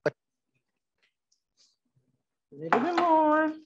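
A woman's voice: high-pitched, big pitch swings, drawn-out words, a little hiss or hum. A middle-aged woman giving a live cooking demonstration in a kitchen, thinking aloud as she works. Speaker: cooking class instructor. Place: indoor kitchen with steel fridge and counters. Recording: dull, muffled lo-fi live video sound.

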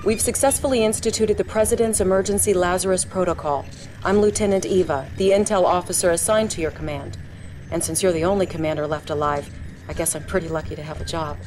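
Woman playing crisp, lowish-voiced American intelligence officer. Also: quick pace, darkish tone, faint music behind, close to an everyday voice. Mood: composed, efficient. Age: young adult. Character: crisp young female military intelligence officer. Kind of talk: scripted military briefing. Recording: clear control-room background noise.

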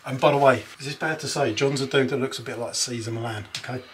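A man's voice: medium pitch, steady tone, a little hiss or hum. A British man hosting a gym vlog, joking and laughing as he plans the training session. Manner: jokey, laughs often, quick asides. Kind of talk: casual gym chat and instructions. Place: large echoey gym, indoors. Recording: echoey gym with music playing.

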